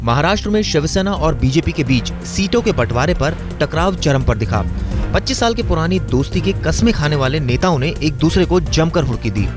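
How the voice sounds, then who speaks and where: A male news reporter reads his scripted report in a slightly high voice, measured and dramatic. A clear music bed plays under him throughout. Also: expressive. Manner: measured, rhetorical delivery.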